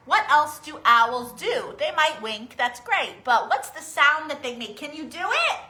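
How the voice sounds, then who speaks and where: A woman's voice: high-pitched, very animated, a little slow, bright, forceful tone. A woman leading an interactive children's storytime, coaxing small children along with questions and sing-song encouragement. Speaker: children's storytime host teaching songs and crafts. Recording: echoey room.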